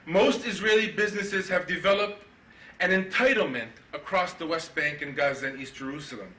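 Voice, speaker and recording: A middle-aged man giving a keynote at a conference, with a sarcastic, ironic delivery and animated mock-indignant questions. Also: high voice. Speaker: keynote speaker. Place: conference hall. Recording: echoey hall, mic from far off.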